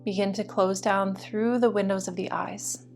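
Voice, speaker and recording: A woman yoga teacher guiding a meditation practice in a soothing, slow and deliberate way. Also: medium pitch, fairly even intonation. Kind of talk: guided meditation instruction. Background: music.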